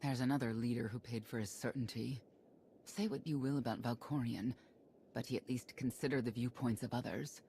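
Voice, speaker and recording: Game warrior woman: mature, gravelly, deliberate, dryly witty, slight ambient noise. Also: rumbling low, fairly even intonation, mellow. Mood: reflective.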